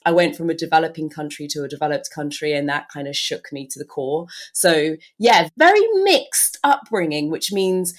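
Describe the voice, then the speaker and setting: A woman from the Turks and Caicos Islands with a British-sounding accent, chatting as a podcast guest in a lively, laughing way over a video call. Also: sits low, normal speed, few pauses. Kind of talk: casual interview chat.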